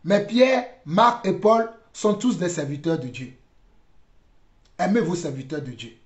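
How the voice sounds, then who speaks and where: A man giving religious commentary in a deliberate, halting way, repeating phrases for emphasis. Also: sits high. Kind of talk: spoken commentary with preaching. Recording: dull, roomy, echoing recording.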